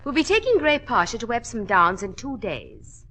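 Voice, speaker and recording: Bright, refined English lady. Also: a little high, very animated, normal speed, full character voice. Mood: worried. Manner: formal, dramatic. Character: refined English noblewoman, anxious, commanding. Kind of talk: 1940s radio acting.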